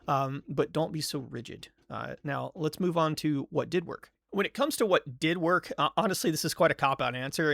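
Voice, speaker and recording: A man's voice: medium pitch, creaky, fairly bright tone, dry recording. A young man talking candidly to camera in a casual vlog, self-deprecating and rambling, often restarting his sentences. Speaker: vlogger.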